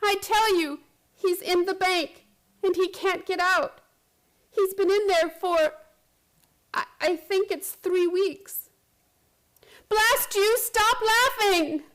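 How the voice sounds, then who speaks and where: Really high voiced actress doing a troubled lady schoolteacher, intense n pleading, radio play style. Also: slow pace, pauses often, fairly bright tone, full character voice. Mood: desperate, pleading. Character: earnest, distraught female high school physics teacher pleading for help. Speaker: narrator and lead character of radio play. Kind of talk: radio drama acting.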